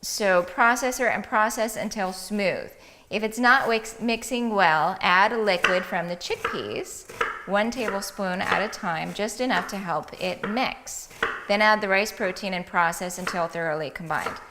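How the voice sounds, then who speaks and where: A woman's voice: medium pitch, a little slow, noisy background. A woman hosting a cooking segment, upbeat and laughing often as she walks through a recipe. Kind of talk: casual cooking-show chat.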